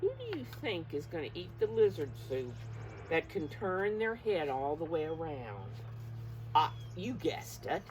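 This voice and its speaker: A woman reads a story to preschoolers in a slightly low voice with very lively, swooping intonation. She takes her time, coaxing her young listeners and asking them to guess along. There is some background noise in the recording. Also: measured pace. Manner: expressive, coaxing, addresses listeners directly. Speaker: preschool teacher reading a picture book. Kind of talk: animated read-aloud to young children with guessing questions.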